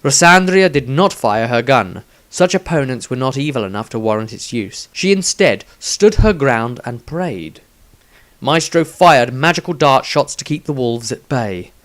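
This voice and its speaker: A man's voice: medium pitch, expressive, a little slow, a bit bright. A man reading his own scripted fantasy story aloud as narrator, with a dramatic storytelling delivery.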